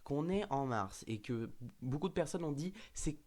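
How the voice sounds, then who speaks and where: A man's voice: on the high side, animated, moderate pace, very noisy. A man with a slight cold hosting a casual fan discussion, talking, hesitant and full of fillers and restarts. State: has a cold. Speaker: host.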